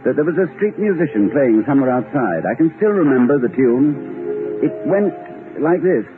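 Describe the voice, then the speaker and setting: An actor playing a cultured, whimsical, romantic college head in an old radio comedy-drama, speaking in articulate, theatrical sentences. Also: male voice, mid-range voice, big pitch swings, moderate pace, close to an everyday voice. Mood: fond, nostalgic. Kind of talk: 1940s-50s radio comedy-drama acting. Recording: old muffled radio recording, reverberant, music behind.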